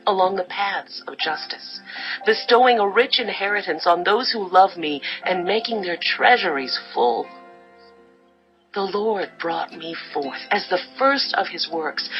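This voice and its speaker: A woman's voice: lowish voice, animated, a little slow, dull audio, soft background music. An actress playing Wisdom as a solemn, commanding female spirit, in a stately, declamatory dramatized scripture reading. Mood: gracious, solemn.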